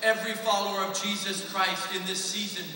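A soft-spoken man speaking in short, measured phrases for an interpreter, on a handheld mic in a large church sanctuary. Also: high voice, flat delivery, heavy background noise. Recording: echoey hall from far off with crowd.

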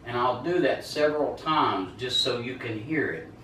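A man's voice: medium pitch, lively intonation, normal speed, far from the mic, a little hiss or hum. A man explaining a chainsaw repair step by step, raising his voice to carry over the engine, kneeling at his work in a large garage. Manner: loud over running chainsaw, talks while working. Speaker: small-engine repair instructor. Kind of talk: hands-on repair tutorial. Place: large garage with concrete floor. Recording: echoey garage, off-mic.

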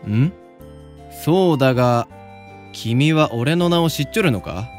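An actor playing a confident, kindly senior samurai student with a Yamaguchi accent and old-fashioned turns of speech, in a voice drama. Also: male voice, mid-range voice, animated, unhurried, faint music behind, close to an everyday voice. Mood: affable. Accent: Yamaguchi (Choshu). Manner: old-fashioned, breezy authority. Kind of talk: anime-style voice drama.

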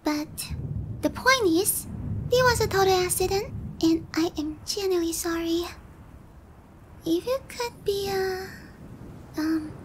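Very high-pitched anime dragon girl. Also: a little hiss or hum, poor audio. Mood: sheepish, explaining. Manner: condescending, hesitant with giggles and murmured asides. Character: haughty, flustered, cutesy young anime dragon girl. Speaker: voice actor. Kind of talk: anime ASMR character roleplay.

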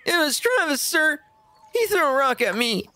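A woman's voice: sits high, big pitch swings, moderate pace, pushed and bright, exaggerated voice. A woman playing a cocky young boy, an older brother, in an audio drama. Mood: tattling.

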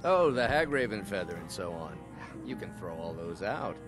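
A sly jolly demon lord of partying for a fantasy game, lowish voice, swingy delivery, music behind. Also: male voice, big pitch swings, normal speed. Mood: amused. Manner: teasing, theatrical, avuncular. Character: jovial, sly daedric lord of debauchery. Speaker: video game character.

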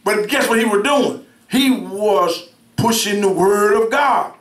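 High-voiced, bright preaching man. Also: slow. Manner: preaching with call-and-response, repeats words, swings between shouting and soft asides. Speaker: minister. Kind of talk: sermon. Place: church sanctuary, indoors, at a pulpit. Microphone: pulpit mic from a far camera. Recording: roomy church sound from far off.

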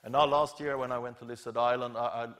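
A middle-aged man giving an academic conference lecture, explaining informally and haltingly with self-corrections. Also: mid-range voice, steady tone, fairly bright tone. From Scandinavia. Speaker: professor, keynote lecturer. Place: large lecture theatre stage at a podium.

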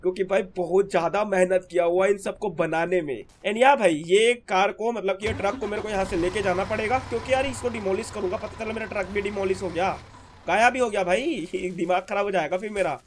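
A hoarse young man with a sore throat, chatting casually as he narrates his gameplay to his viewers. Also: high voice, a little fast, a little hiss or hum. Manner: chatty, keeps calling viewers brother. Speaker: gaming channel host.

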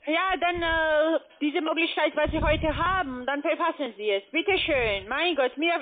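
A woman with a foreign accent giving a phone sales pitch as a call center agent, pushy and blunt. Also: unhurried. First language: not German. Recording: tinny narrow phone line.

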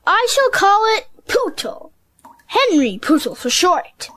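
Young boy as acted for storybook audio play: very high, animated, innocent and matter-of-fact. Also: takes it slowly, heavily acted. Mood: calm certainty. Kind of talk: children's storybook audio drama.

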